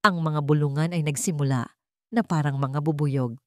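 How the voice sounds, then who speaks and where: Woman storyteller, dramatic narration, low voice, dry close sound. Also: fairly even intonation, moderate pace, dark tone, dry recording.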